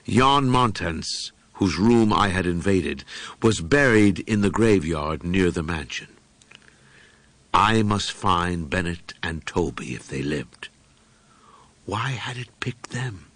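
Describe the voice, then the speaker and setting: A man narrating a first-person horror audiobook in a solemn, brooding, deliberate manner with dramatic pauses. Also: sits low, a bit bright, no room sound.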